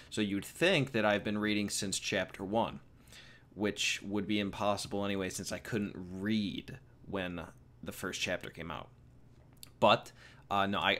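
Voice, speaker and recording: A man's voice: on the low side, a bit bright. A 21-year-old man casually answering viewer questions in a rambling, jokey way. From United States. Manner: rambling and jokey, with fillers and repeats. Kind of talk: casual Q&A vlog.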